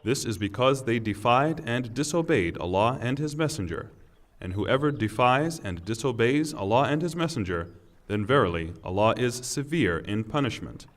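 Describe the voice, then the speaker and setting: Guy reading holy text, solemn n measured, declamatory, voice really rises and falls. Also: mid-range voice, expressive. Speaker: reader of scripture translation. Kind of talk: solemn scripted scripture reading.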